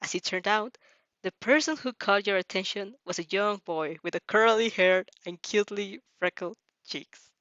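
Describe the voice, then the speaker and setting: A woman reads fiction aloud with a casual, reactive delivery. Her pitch is average, and the sound is very dry with little room, on a slightly dull, lo-fi recording. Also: normal speed, fairly bright tone, dry recording. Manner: giggly asides, stumbling over words while reading. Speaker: reader reacting to fan fiction.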